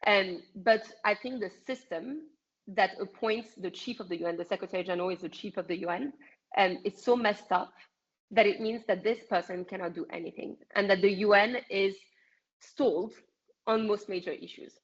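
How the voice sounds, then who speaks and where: A woman's voice: mid-range voice, normal speed, breathy edge, dull audio. A woman from France with a French accent, giving blunt, passionate interview answers over a video call, with frequent ums. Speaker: activist guest.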